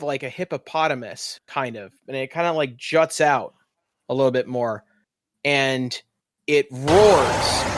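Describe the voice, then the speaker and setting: A man narrating a tabletop game as game master and podcast host. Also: fairly high pitch.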